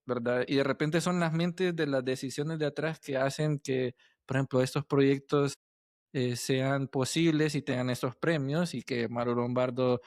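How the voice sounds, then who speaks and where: A man hosts a conversational interview. His voice sits in a middle register in a dry recording. He feels his way haltingly through each thought and punctuates it with tag questions. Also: medium pitch, steady tone, darkish tone, dry recording. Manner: halting, thinking aloud, frequent tag questions. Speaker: podcast host interviewer.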